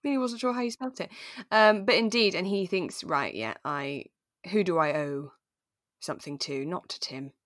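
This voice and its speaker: A British woman co-hosting a podcast in relaxed, casual chat about a radio drama. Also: on the low side, moderate pace, dry recording, clean studio sound. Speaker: podcast co-host.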